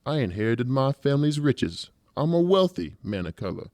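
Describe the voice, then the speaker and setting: A man's voice: medium pitch, sing-song, normal speed, darkish tone, no echo, natural voice. A man playing a large, theatrical, sadistic professional torturer in a dramatized audiobook. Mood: composed, formal. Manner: slangy, showy and taunting. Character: large, gleefully sadistic professional torturer who plays the comedian. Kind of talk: dramatized audiobook character acting.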